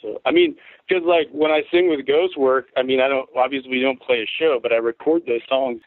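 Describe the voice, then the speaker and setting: A man in his forties from Tacoma, Washington, with a rough, worn voice, telling stories in a rambling, laid-back way as a guest on an interview show. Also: medium pitch, steady tone, brisk, no echo. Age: 40s. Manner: rambling, laid-back storytelling with frequent swearing. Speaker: guest musician. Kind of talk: casual interview chat. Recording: tinny phone-like line, dry.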